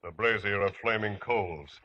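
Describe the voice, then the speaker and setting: A man's voice: on the low side, a little slow, sharp tone, muffled recording. A man playing an obedient soldier serving his commander in a 1950s radio drama, deferential and grave. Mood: dutiful.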